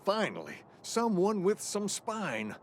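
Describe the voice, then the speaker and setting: An actor playing a cantankerous, blustering old shopkeeper in a video game. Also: male voice, sits high, wildly swooping pitch, slow pace, a little hiss or hum, heavily acted. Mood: gruff, boastful. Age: older. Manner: folksy, blustering. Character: cantankerous old shopkeeper man, brash and gung-ho. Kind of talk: video game character acting.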